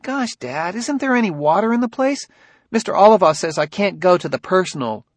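A man voicing an eager, whiny young boy who pleads in squeaky, childlike slang in an audiobook. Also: expressive, fairly quick, no echo. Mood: complaining.

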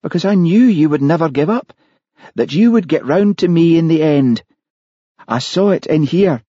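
A man's voice: on the high side, expressive, normal speed, warm tone, no room sound, dull audio, voice pushed up. A man voicing a woman who confesses to murder, unrepentant and matter-of-fact, in an audiobook. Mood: resigned, intense. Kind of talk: audiobook character voice.

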